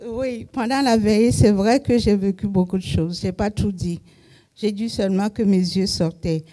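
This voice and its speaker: A woman giving a halting testimony in a church service, searching for words. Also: medium pitch, steady tone, mellow. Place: church hall.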